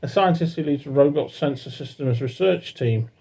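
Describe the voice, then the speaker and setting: A man co-hosting a casual chat show, reading articles aloud with hesitant pauses. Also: a little high, gravelly, dark tone, husky. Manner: hesitant, fillers while reading. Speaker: podcast co-host.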